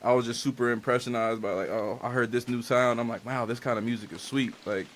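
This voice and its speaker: A young man whose first language is Spanish, talking in a loose, candid way and leaning on "like" and slang. His voice sits at an everyday middle pitch. A faint hiss of noise runs underneath. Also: steady tone, quick pace. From Dominican and Haitian family. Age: young adult. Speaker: guest artist and engineer. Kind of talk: casual interview chat.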